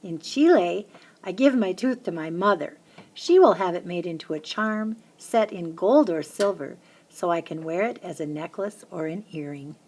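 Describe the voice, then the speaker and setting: A woman's voice: moderate pace, a little hiss or hum. Woman reading a children's book aloud. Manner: lively, lifts her voice high for the children's chants. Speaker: reader of a children's picture book. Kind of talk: storybook read-aloud.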